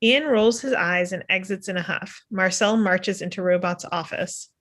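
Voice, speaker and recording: A woman's voice: mid-range voice, normal speed, fairly bright tone. A woman reading stage directions as scripted narration at a table read.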